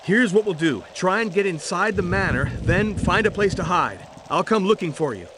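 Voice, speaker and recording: A man's voice: high voice, lively intonation, moderate pace, background noise. An actor playing a determined, protective male investigator in a video game, speaking in a firm, terse and reassuring way. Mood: calm, reassuring. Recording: music behind the voice.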